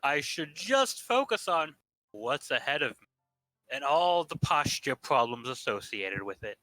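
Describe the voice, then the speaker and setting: A man's voice: a little high, very animated, unhurried, bright, forceful tone, no echo, faint music behind, low-quality recording. A man reading first-person narration aloud in a casual staged reading, in a playful way. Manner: playful, dropping jokey asides.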